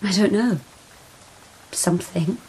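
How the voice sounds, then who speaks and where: A young wild girl raised rough, for radio drama. Her voice is husky and mid-pitched, her answers blunt, guarded and plain. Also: takes it slowly, darkish tone, poor audio. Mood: defensive. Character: spirited feral young woman raised among wolves. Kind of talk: radio period drama acting.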